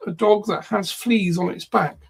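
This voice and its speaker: A man channeling a gravelly, formal spirit guide. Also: high-pitched, steady tone, normal speed, exaggerated voice. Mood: calm, explanatory. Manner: formal, calls her "my dear", speaks as "we". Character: male spirit guide, formal and gently kindly.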